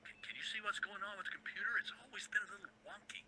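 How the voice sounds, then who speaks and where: An actor playing an impatient small-town radio host in a video game, talking in curt, dismissive asides. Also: male voice, high-pitched, fairly even intonation, quick pace, pushed and bright, a little hiss or hum, low-quality recording. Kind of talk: game character dialogue.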